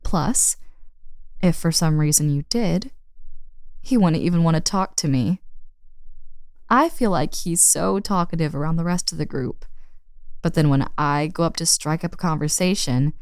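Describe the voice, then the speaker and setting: A woman's voice: deep voice, moderate pace, pauses often, dry recording. A woman playing a friend's friendly, teasing older sister in a casual, intimate roleplay audio drama. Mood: playful, teasing.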